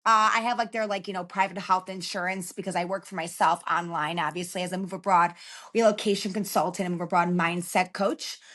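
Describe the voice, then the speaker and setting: A woman's voice: lowish voice, steady tone, fairly quick, a bit bright. A woman in her thirties from Chicago, a guest on a podcast, talking in a chatty, encouraging way with a lot of filler words. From Chicago, United States. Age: 30s. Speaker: podcast guest, relocation consultant. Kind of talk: casual interview talk.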